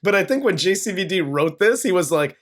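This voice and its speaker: A man's voice: sits high, lively intonation, a little fast, a bit bright. A man co-hosting a casual movie review podcast, recapping the plot with jokes. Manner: joking, recaps plot with mock voices. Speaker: podcast co-host.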